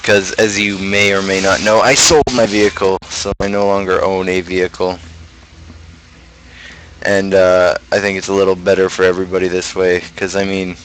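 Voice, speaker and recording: A man's voice: low voice, level tone, normal speed, fairly bright tone, slight background noise, low-quality recording. A young adult man chatting casually on a livestream, rambling and opinionated as he rants. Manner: opinionated, ranting. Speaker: livestream host.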